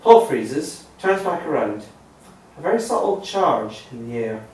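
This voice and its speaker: Lively male narrator in an echoey room. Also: a little high, measured pace. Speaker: narrator reading stage directions. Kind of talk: scripted narration at a table read. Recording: echoey room, distant mic, faint noise.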